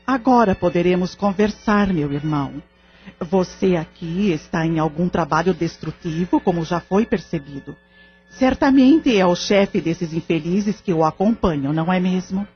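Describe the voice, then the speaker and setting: A woman's voice: on the low side, lively intonation, normal speed, natural voice. An actress playing a firm, insistent lady medium, speaking formally and with authority in an audio drama. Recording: muffled lo-fi audio drama recording. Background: background music.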